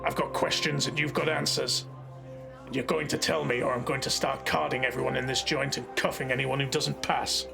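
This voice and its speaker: An actor playing a hard-boiled, impatient police marshal in a noir audio drama, gruff and clipped with dry wit and a gravelly voice. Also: male voice, medium pitch, fairly even intonation, a little fast, music in the background. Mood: menacing, curt. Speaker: narrator and lead character.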